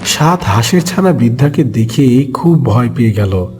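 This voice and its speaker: A man's voice: mid-range voice, lively intonation, normal speed, a little hiss or hum. A man narrating a scripted children's cartoon story.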